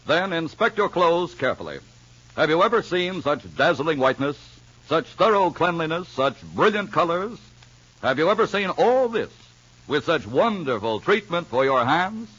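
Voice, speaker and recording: A male commercial announcer with a somewhat high, lively voice, pushing the product with emphatic enthusiasm. The recording is dull and lo-fi, straight from an old radio broadcast. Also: moderate pace, breathy edge. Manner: bright salesy, emphatic.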